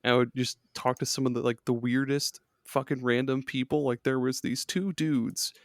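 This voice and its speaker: A man's voice: medium pitch, steady tone, normal speed, no room sound, clean studio sound. A man on a gaming podcast, rambling casually with lots of fillers and swearing. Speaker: podcast guest.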